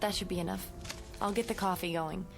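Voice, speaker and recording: A woman's voice: medium pitch, steady tone, fairly quick, creaky, over music, natural voice. An actress playing an earnest, polite young woman with an anxious streak in a radio drama. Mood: friendly, polite. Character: anxious, kindly young woman living alone, growing frightened.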